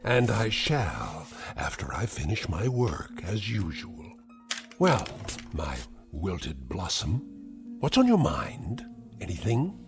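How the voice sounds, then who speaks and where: An actor playing a cruel, sarcastic elderly uncle who is a scientist, taunting with mocking, theatrical insults. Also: male voice, sits low, wildly swooping pitch, slow, over music, exaggerated voice. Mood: sneering. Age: older. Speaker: domineering uncle. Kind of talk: 1960s TV drama acting.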